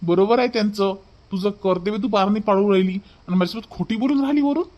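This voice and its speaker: A man plays an upset young husband in a cartoon family drama. His voice sits high for a man. He scolds sternly. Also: fast talker, lo-fi sound, natural voice.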